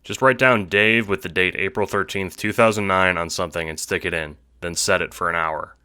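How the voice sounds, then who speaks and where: A man playing a laid-back teenage boy time traveler in an audio drama, speaking in an offhand, slangy deadpan. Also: sits low, fairly quick, clear, resonant tone, no echo, studio quality. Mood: deadpan, casual. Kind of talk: audio drama character dialogue.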